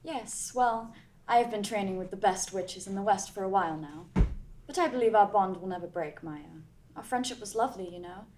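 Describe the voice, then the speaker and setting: A woman's voice: mid-range voice, a little fast, darkish tone, slight background noise, natural voice. A woman reading the part of a smug, competitive teenage witch girl in a haughty, condescending tone.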